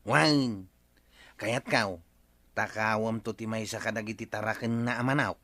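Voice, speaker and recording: A man's voice: on the low side, steady tone, unhurried, fairly bright tone, no room sound. An actor voicing a sly young man who schemes and wheedles in a comedy radio drama. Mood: scheming.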